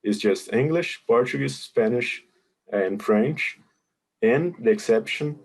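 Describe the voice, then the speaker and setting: A man's voice: medium pitch, slow, creaky. A man presenting a webinar, patiently explaining contest rules and answering questions over a video call. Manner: patient, explanatory, hesitant with many fillers.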